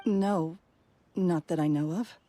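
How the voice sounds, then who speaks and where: A woman's voice: on the low side, takes it slowly, mellow. An actress playing a guarded, grieving woman in a video game, speaking in a terse, wary way. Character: guarded, grieving woman shielding someone's memory. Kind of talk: English game voice acting.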